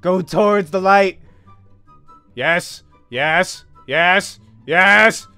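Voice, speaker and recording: A young adult man giving excitable let's play commentary into a close desk microphone, exclaiming and repeating phrases as he plays. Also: high voice, drawn-out words, smooth, soft background music. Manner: animated, exclaiming, repeats phrases while playing. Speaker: gaming video host. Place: small indoor room at home. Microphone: close desk microphone, headset on.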